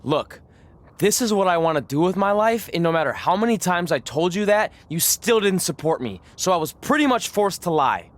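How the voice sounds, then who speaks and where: An actor playing an earnest, stubborn young aspiring wrestler in a video game, with a boyish, pleading manner. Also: male voice, high voice, expressive, normal speed. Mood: defiant. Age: young adult.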